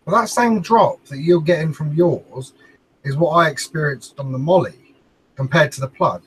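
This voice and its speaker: A man's voice: on the high side, moderate pace, fairly bright tone, slight background noise, rough recording. A man chatting informally and bluntly as a panellist on a talk show. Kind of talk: casual chat.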